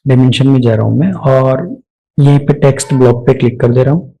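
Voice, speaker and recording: A man with an Indian accent narrating a step-by-step software tutorial, explaining each action aloud as an instructor. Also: mid-range voice, fairly even intonation, brisk, gravelly, poor audio.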